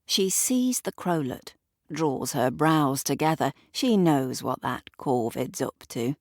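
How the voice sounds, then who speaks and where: A woman narrates an audio drama in a low voice, taking her time over each phrase. The sound is dry and close. She tells it as if confiding in the listener. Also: expressive, slow, a little mellow, dry recording. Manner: conversational asides to the listener, acting out characters. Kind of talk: dramatic present-tense storytelling.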